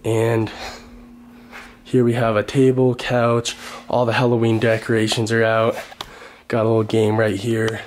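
A man's voice: on the low side, monotone, measured pace, long pauses, slight background noise. A young man touring his house and talking about his workouts in a casual vlog, rambling with lots of "like.". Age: young adult. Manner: laid-back, rambling with many "like" fillers. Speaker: fitness vlogger. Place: house and car, handheld. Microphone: handheld camera, later a voice-over mic.

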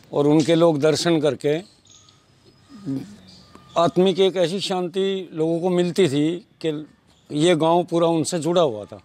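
A man's voice: on the high side, darkish tone. An older man with a western Uttar Pradesh accent giving unscripted interview answers as a local villager. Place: outdoors by a temple wall.

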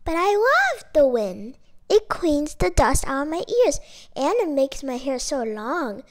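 Woman as fearless storybook little girl: high, swooping, carefree and coaxing. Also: very animated, a little slow. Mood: carefree, eager.

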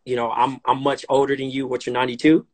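Relaxed man in his thirties hosting. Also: medium pitch, fast talker. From Compton, California. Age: 30s. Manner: relaxed, hesitant, repeats words often. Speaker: podcast host. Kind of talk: casual interview chat. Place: dim room indoors, on a live video call. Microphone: phone camera, split-screen live stream.

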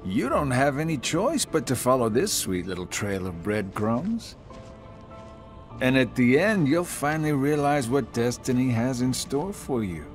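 A man's voice: medium pitch, very animated, measured pace, faint music behind. A man voicing a sardonic, taunting middle-aged villain in a video game, with a drawling delivery. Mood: mocking, lecturing. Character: sly, mocking one-eyed middle-aged male villain. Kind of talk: English video game cutscene acting.

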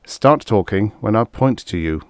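A man's voice: on the low side, expressive, normal speed, a little mellow, no room sound, studio quality. A man voicing a stern commanding officer of an outpost in an audiobook, with an authoritative manner. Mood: controlled command.

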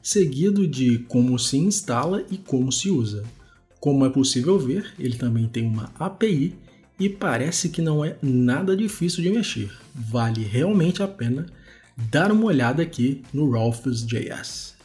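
A man's voice: medium pitch, animated, moderate pace, darkish tone. A man with a Brazilian accent hosting a web development screencast, talking informally and conversationally as he demonstrates. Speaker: host of a web development video show. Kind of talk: casual screencast commentary. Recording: music behind the voice. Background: background music.

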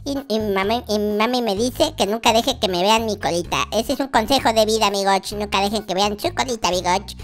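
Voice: mid-range voice, a little fast, few pauses, a bit bright, faint music behind, close to an everyday voice. A performer voicing a whiny, naive baby boy in a Minecraft roleplay, with childish wording, exclamations. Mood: silly, chatty. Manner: childish diminutives, exclaiming, addresses viewers constantly. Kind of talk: in-character Minecraft roleplay commentary.

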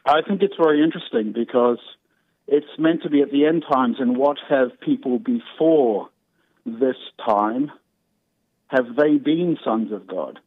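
A male caller from New Zealand, with the accent to match, talks earnestly and casually, hesitating and repeating himself. His mid-pitched voice comes over a narrow, tinny phone line. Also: measured pace, slightly rough, no echo.

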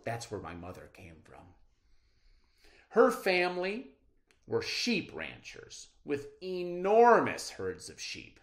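A middle-aged man teaching a read-aloud reading lesson to children in a deliberate, expressive, explanatory way. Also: a little high.

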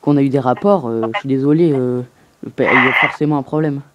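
A man making a prank phone call, posing as an aggrieved customer and complaining in a halting way. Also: mid-range voice, background noise. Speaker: prank caller.

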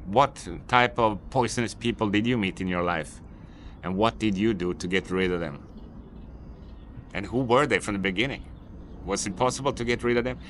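A Swedish man talks straight to camera in unscripted English, his voice a little low and his advice circling back on itself as he admits to some annoyance. Faint city ambience hums behind him out on the street. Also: moderate pace, a bit bright, slight background noise. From Sweden. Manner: rambling, repetitive, mildly annoyed advice-giving. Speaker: vlogger, business owner. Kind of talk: unscripted vlog monologue.

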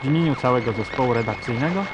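A man's voice: medium pitch, very fast, highs cut off, natural voice. Guy playing an official-sounding radio news announcer, parody bulletin, really noisy recording. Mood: formal. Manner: formal, official. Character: formal male propaganda radio news announcer.

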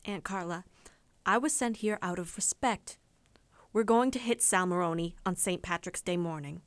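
A woman's voice: on the low side, moderate pace, no room sound, lightly acted. A woman playing a dutiful, businesslike daughter of a crime family in a noir radio drama.

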